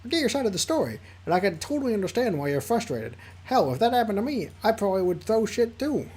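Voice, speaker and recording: Brief: streamer doing an upbeat young male friend, squeaky and animated, slightly husky. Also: fast. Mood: encouraging. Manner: upbeat, reassuring, chatty advice.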